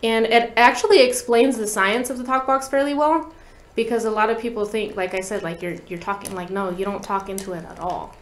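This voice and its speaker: A woman's voice: fairly high pitch, brisk. A young woman guest musician explaining things in a casual, slangy way in an interview. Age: young adult. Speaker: guest musician, talk box player. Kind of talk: casual interview chat with demonstration. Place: small podcast studio, indoors. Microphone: tabletop podcast microphone. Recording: roomy echo, light background noise.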